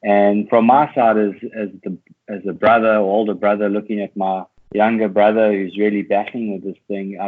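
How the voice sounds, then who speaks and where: A man with a South African accent, talking as a podcast guest over an internet call, thoughtful and hesitant, often restarting his sentences. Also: on the low side. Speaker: podcast guest, older brother. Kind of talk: casual conversation. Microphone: Skype call. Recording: tinny internet call audio.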